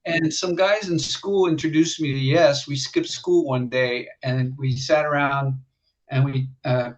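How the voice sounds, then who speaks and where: A man's voice: medium pitch, fairly even intonation, unhurried, poor audio. A man in his sixties from the Netherlands, telling his life story as a musician guest in a casual interview, with many restarts and fillers.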